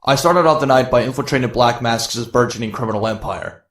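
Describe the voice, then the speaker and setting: A man's voice: mid-range voice, a little fast, close to an everyday voice. A man voicing a cocky, sardonic young masked vigilante antihero in a motion comic, full of quips. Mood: wry narration. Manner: sardonic, quippy. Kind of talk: motion comic narration and dialogue. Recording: echoey, roomy sound.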